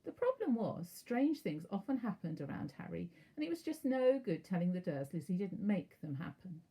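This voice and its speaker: A woman narrating a children's storybook aloud as a teacher would, in an expressive, measured way. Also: mid-range voice, slightly rough, low-quality recording.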